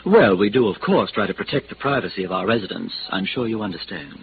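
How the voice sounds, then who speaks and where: Fussy, swooping, obsequious hotel manager. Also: male voice, fairly low pitch, animated, fast talker. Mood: prim, flustered. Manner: pompous, flowery, unctuous, hesitant. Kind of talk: 1950s American radio drama character acting. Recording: scratchy, muffled vintage radio recording with hiss.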